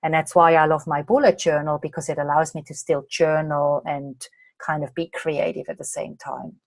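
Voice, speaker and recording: A slightly hoarse woman from Australia, a coach answering viewer questions in a chatty way during a live online masterclass late at night. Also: deep voice, fairly even intonation, normal speed, no echo. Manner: chatty, reads out viewer comments and answers them. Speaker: coach and presenter.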